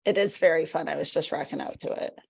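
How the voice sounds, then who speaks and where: A woman's voice: sits low, quick pace, gravelly, darkish tone. A woman co-hosting a podcast, enthusiastic and playful, chiming in often. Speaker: podcast co-host. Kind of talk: casual interview chat.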